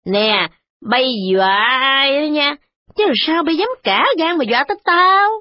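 A woman voicing a domineering, quick-tempered older woman who scolds and threatens. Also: sits high, animated, slight background noise, pitched above the performer's own voice. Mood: furious. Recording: dull lo-fi audio with music.

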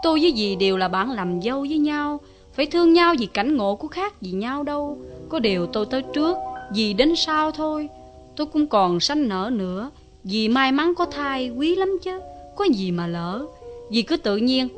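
A gracious young wife with a high, measured voice that stays calm and firm under pressure. Faint music plays behind her. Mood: gentle. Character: dignified young wife, gentle but defiant.

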